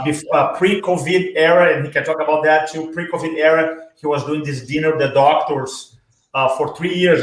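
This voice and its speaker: A man's voice: on the high side, fairly bright tone. Enthusiastic man in an echoey room. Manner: enthusiastic, praising, halting with "uh" fillers. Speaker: speaking coach and host. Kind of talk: interview over video call.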